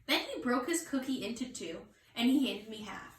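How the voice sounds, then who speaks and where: A woman with a fairly high voice reads a children's story aloud as a teacher would. Her delivery is expressive. She sounds a little away from the mic in an echoey room. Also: normal speed. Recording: roomy echo, distant mic.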